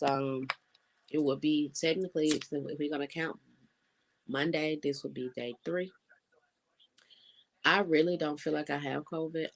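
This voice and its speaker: Stuffy, congested young adult vlogger with a gravelly voice; laid-back, rambling, lots of fillers. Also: mid-range voice, a little hiss or hum. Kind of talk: casual vlog update.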